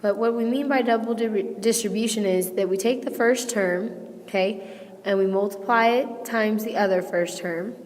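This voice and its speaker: A woman teacher with a hoarse, failing voice, patiently walking students through a math lesson step by step and checking in with "okay?". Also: mid-range voice, moderate pace, a little mellow, big-room sound. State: sick, losing her voice. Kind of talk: recorded classroom lesson.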